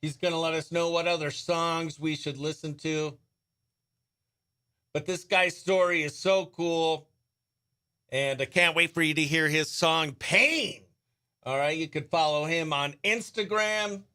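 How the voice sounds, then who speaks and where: A man hosting a radio show in a breezy, excitable way, chatting and calling out greetings to viewers. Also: a little high, unhurried. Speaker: radio host.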